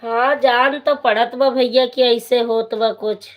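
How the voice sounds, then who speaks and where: A hoarse woman with an Awadhi accent, a folk singer chatting casually with viewers on a live stream and answering their comments. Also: on the high side, fairly even intonation, slow pace.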